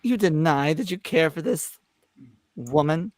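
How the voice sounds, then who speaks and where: A performer plays a taunting, all-powerful alien trickster in an amateur script read. The delivery is theatrical and mock-wounded, with a mid-pitched voice that swoops widely. The sound is dry and close. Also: animated, unhurried, dry recording, natural voice. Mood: smug warning.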